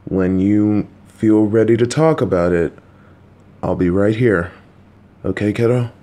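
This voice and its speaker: A man voicing a kind, worried, clueless father who talks to his child in a gentle, patient, anxious, rambling way. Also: on the low side, measured pace, natural voice. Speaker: voice actor. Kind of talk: audio roleplay for a listener.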